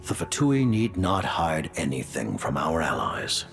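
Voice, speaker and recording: An actor playing a grave, stately older leader in a video game, speaking formally and solemnly in a gravelly voice. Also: male voice, huge pitch swings, measured pace, over loud music, full character voice. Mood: grave, commanding. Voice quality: deep, gravelly. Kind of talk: theatrical video game voice acting.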